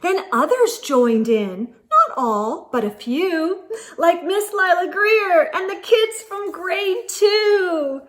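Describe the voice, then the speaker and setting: A woman with a high voice reads a rhyming picture book for young children. Her storytelling is animated and expressive, and she takes her time over the lines. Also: slow pace. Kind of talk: expressive picture-book read-aloud in rhyme.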